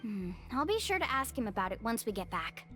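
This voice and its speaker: High-voiced young female expedition guide. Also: big pitch swings, a little fast, over music. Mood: puzzled. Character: level-headed young woman guiding an expedition, friendly and cautious. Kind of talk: English video game voice acting.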